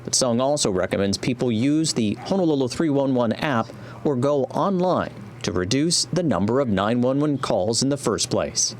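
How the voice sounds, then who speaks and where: A man's voice: medium pitch, expressive, moderate pace, slight background noise. A man with an American accent reading scripted narration for a TV news report.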